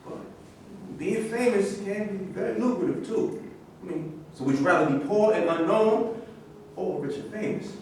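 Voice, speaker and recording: A man's voice: high-pitched, normal speed, a little mellow. A man in a staged play reading, playing a cool, slangy and self-justifying football star. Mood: casual. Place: echoey indoor room at a staged reading. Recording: distant, very echoey room with noise.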